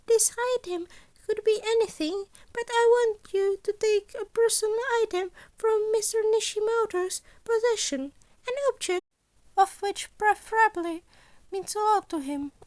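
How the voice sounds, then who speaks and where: A woman's voice: very high voice, unhurried, darkish tone, pitched above the performer's own voice. A woman voicing a polite, scheming animal school principal in a story, speaking formally and precisely as if lecturing. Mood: measured, instructing. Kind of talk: scripted story character voice.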